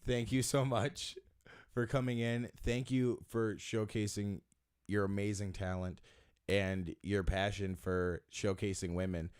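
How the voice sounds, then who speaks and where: A man's voice: fairly low pitch, flat delivery, measured pace, a little mellow, clean tone. A man hosting a podcast, chatting casually with a guest, joking and laughing often. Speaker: podcast host. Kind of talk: casual interview chat. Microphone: close studio mic.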